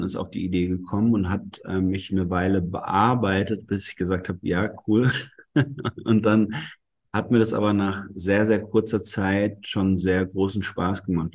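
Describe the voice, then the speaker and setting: A man with a deep, low-pitched voice talks as an interview guest, explaining his work at length and pausing often on fillers. He grew up speaking both German and Italian. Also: darkish tone, slightly rough. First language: bilingual German and Italian. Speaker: musician and guest. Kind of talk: casual interview talk.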